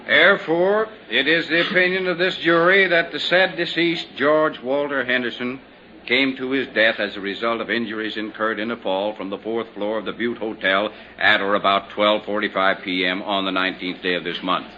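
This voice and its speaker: A formal coroner. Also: male voice, lowish voice, fairly even intonation, normal speed, sharp tone. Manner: formal, official. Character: formal male coroner questioning a witness. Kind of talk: 1950s radio drama acting. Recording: dull lo-fi old radio with faint noise.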